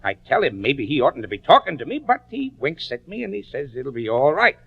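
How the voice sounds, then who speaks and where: A man's voice: mid-range voice, very animated, brisk, gravelly, slightly rough, highs cut off, heavily acted. An actor in an old-time radio drama playing a slangy, cagey male juror giving evidence with folksy evasiveness. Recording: vintage radio broadcast.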